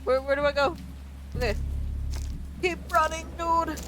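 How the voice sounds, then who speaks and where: A woman's voice: high voice, measured pace, background noise. A woman game streamer giving casual let's play commentary, with game music behind her.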